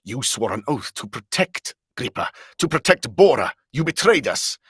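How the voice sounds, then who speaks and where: A man voicing a wronged Viking warrior from a game, forceful and accusing. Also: mid-range voice, very animated, normal speed, slightly rough, no echo, clean studio sound, voice pushed up, full character voice.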